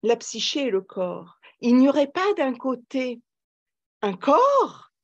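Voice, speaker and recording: An older woman, answering conversationally in an interview, emphatic and reflective, pausing as she searches for words. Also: high voice, very animated, muffled recording. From Paris, France. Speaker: psychoanalyst and author, podcast guest.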